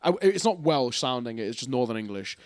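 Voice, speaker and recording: A man's voice: medium pitch, big pitch swings, a little fast, a little mellow, dry recording, clean studio sound. A man co-hosting a casual chat, telling a long anecdote in a rambling way, full of "like" and swearing. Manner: rambling anecdote, heavy use of "like"; swears freely, amused storytelling. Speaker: co-host.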